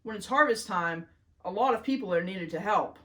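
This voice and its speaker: A woman's voice: mid-range voice, lively intonation, moderate pace, bright, forceful tone. A woman voicing a proud, earnest farm girl explaining things in a children's storybook.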